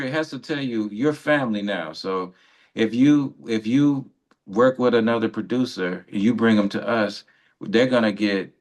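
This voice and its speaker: Low-ish voiced guy on a radio chat, rambling a bit, giving encouraging advice. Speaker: radio co-host.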